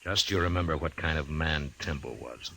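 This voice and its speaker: A stoic, weary American lawman in a radio western. The voice sits extremely low and gravelly, with a husky edge. He speaks haltingly and with understatement, as a plain man of conscience. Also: bright, forceful tone, dry recording, full character voice. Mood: grave, resolute. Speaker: radio drama lead actor. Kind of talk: old-time radio western acting.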